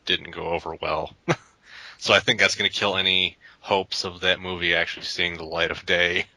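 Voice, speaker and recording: A man's voice: low-pitched, little pitch movement, moderate pace, pushed and bright, no echo, rough recording. A man co-hosting a casual gaming podcast, talking in long rambling runs with frequent restarts. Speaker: podcast co-host.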